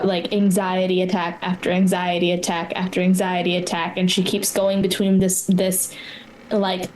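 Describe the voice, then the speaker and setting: A woman with a mid-pitched, very even voice describes her tabletop character's feelings. She thinks aloud, searching for words and rephrasing them. There is noticeable noise in the background. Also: poor audio. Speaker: tabletop game player. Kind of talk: improvised narration of her character's inner state.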